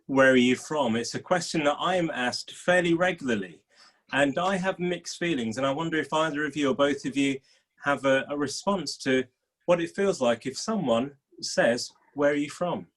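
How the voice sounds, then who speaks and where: A man's voice: fairly high pitch, normal speed, a bit bright, low-quality recording. A man hosting a panel discussion, welcoming and enthusiastic as he poses questions. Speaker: panel host.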